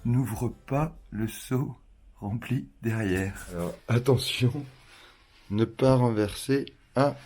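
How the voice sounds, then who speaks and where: A man's voice: mid-range voice, slow pace, a little mellow. A man speaking casually as a travel vlogger, chatting and narrating his day. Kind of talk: casual chat and vlog narration. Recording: music behind the voice.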